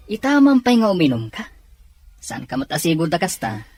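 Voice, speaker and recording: An actor playing a blunt, consoling male drinking buddy in a drama, with a husky voice. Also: medium pitch, sing-song, moderate pace, a little mellow, no echo. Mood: teasing sympathy. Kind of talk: Ilocano drama acting.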